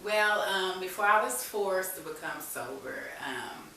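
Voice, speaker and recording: A woman's voice: on the low side, expressive, measured pace, sharp tone. A woman speaking as an interview guest, telling her own story candidly and informally in conversation. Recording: very echoey room, distant mic, faint hiss.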